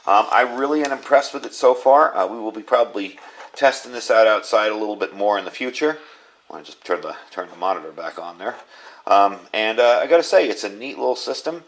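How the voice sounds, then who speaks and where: Low-voiced man reviewing gear. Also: lively intonation, a little fast. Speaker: host reviewing gadgets. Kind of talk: casual product review. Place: small indoor room at a tabletop.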